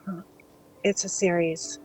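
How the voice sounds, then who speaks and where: An actress playing a writer's blunt, teasing wife in a live audio drama, prodding him with dry remarks. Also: female voice, lowish voice, flat delivery, slow, a little mellow, faint music behind. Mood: sleepy, prodding.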